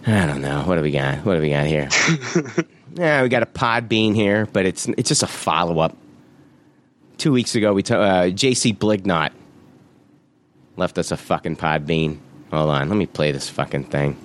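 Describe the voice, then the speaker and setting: A man's voice: deep voice, lively intonation, moderate pace, long pauses. A man hosting a podcast, casually reading out listener reviews, laughing often and swearing offhandedly.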